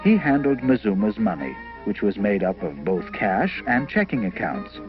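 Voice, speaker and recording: Low, animated male storybook narrator. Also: normal speed. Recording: dull old 1970 film soundtrack. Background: music.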